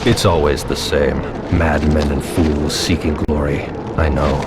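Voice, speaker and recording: A doomed undead gatekeeper in a fantasy video game, speaking in a very low, theatrical voice. He is bitter and mocking as he tends the dead, with heavy music playing behind him. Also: little pitch movement, measured pace. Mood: bitter, weary. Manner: sardonic, theatrical. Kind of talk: video game cutscene acting.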